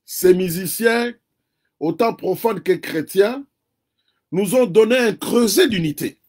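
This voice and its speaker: High-voiced Congolese man, earnest. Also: darkish tone. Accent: Congolese French. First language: Kikongo. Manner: earnest, deliberate, lecturing. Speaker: interview guest. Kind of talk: political commentary in an interview.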